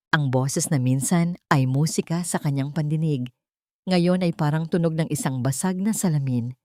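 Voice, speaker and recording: Woman narrator, low voice, dry close sound, scripted love-story drama, measured and dramatic. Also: darkish tone, dry recording.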